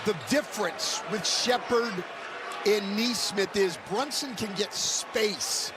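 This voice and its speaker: A man's voice: high voice, slow pace. A man giving conversational, explanatory live commentary as a basketball analyst. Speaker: basketball color analyst, addressed as coach. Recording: loud crowd noise, game broadcast. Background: roaring arena crowd and bouncing basketball.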